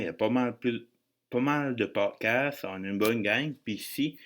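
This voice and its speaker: A man's voice: fairly low pitch, a bit bright. A man with an Acadian French accent hosting a solo podcast in an informal, joking, rambling way with bits of English slang. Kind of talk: casual podcast monologue.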